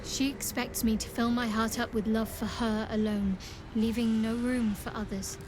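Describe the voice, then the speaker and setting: An actress playing a devout young female warrior of darkness in a video game, earnest, deliberate and intense. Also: fairly high pitch, little pitch movement, noisy background, lightly acted. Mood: torn, regretful. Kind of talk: dramatic video game acting.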